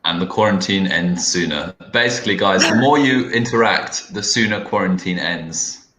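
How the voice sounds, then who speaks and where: A man from the south of England with a British accent, co-teaching an online English class and trading wry, deadpan jokes over a video call. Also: sits low, normal speed, roomy sound, slight background noise. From Hastings, South England. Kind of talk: live online lesson banter.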